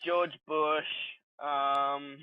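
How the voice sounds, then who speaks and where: A man's voice: fairly high pitch, level tone, very slow, smooth, dry recording. A man co-hosting a podcast, telling things in a casual, joking manner over a lo-fi microphone. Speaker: podcast co-host. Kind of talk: casual joking group chat. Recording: dull, lo-fi microphone sound.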